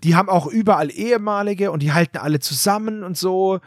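A man's voice: high-pitched, fast talker, no echo, studio quality. A man co-hosting a casual podcast chat, retelling a book's plot in loose running summaries. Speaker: podcast co-host summarizing the book.

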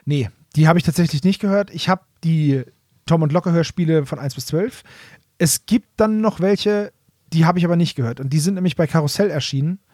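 A man's voice: fairly high pitch, fairly quick, mellow, dry recording, studio quality. A man co-hosting a podcast in informal, casual chat, rephrasing his thoughts as he goes. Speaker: podcast co-host. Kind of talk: casual chat about nostalgic audio dramas.

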